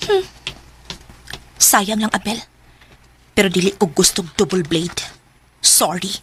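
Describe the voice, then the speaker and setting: A woman's voice: medium pitch, takes it slowly, natural voice. A woman playing a polite, shy fuel station attendant in a Filipino radio drama, with a Cebuano accent. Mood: bashful.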